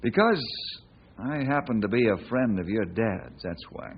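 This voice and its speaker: An actor playing a steady, kindly ranger in an old-time radio western, speaking in an earnest, folksy, persuasive way. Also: male voice, fairly low pitch, a little slow, creaky, slightly rough, full character voice. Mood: earnest, calm. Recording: dull, lo-fi old radio recording.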